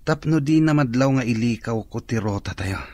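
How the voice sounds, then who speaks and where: An actor playing a sly, plotting ferry crook in a radio drama. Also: male voice, mid-range voice, expressive, normal speed, darkish tone, no room sound, close to an everyday voice.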